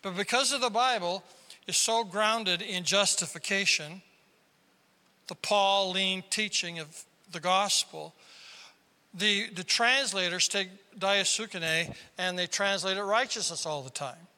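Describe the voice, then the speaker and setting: A man from South Dakota preaching a sermon as pastor, with a squeaky tenor voice and emphatic delivery that climbs into sudden loud bursts. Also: unhurried. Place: large church hall. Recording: reverberant hall.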